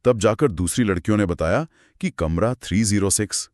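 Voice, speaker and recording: A man's voice: on the low side, normal speed, a little mellow, no echo, studio quality. A man hosting a conversational horror storytelling show, narrating in dramatic, short suspenseful phrases. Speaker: host narrating horror stories.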